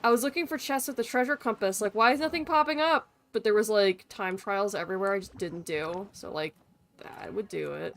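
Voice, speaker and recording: A woman's voice: mid-range voice, fairly quick, fairly bright tone. A woman live streamer giving casual, irreverent gaming commentary peppered with swearing. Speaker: live streamer playing a game. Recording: faint game music behind voice. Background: game music.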